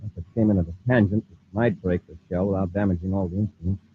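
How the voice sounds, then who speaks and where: A man playing a calm, authoritative space commander who reasons aloud and gives crisp orders, in 1950s radio adventure style. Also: low voice, quick pace, natural voice. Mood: concerned, commanding. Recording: muffled, tinny old radio recording, noisy.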